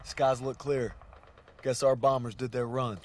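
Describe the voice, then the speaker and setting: An actor playing a young American soldier, an earnest, weary wartime narrator in a war game. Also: male voice, medium pitch, fairly even intonation, a little slow, slight background noise, natural voice. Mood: watchful. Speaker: infantry private.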